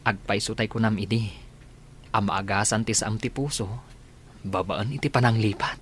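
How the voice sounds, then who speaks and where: A man's voice: fairly low pitch, fairly even intonation, normal speed, a bit bright, a little hiss or hum, lightly acted. A man acting an earnest, lovestruck village suitor in a radio drama. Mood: tender, pleading. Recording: music laid under the voice.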